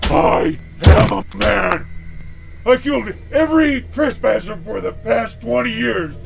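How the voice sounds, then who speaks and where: Man acting a gravelly, slow-talking menacing farmer. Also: sits high, music in the background, full character voice. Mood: furious. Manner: muttering to himself, menacing, bursting into shouts. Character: big selfish brooding murderous farmer, haunted by parents. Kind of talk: horror radio play acting.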